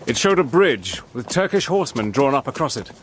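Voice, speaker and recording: An actor playing a steady, soldierly adventurer, narrating his wartime story in a measured, grave manner. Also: medium pitch, a little hiss or hum, natural voice. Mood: grave, tense. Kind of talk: scripted dramatic narration.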